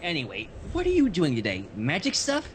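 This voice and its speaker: A man's voice: a little high, wildly swooping pitch, a little fast, a little hiss or hum. A man reading the part of a brash, casual young female cartoon pegasus who is an athlete. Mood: casual, hungry.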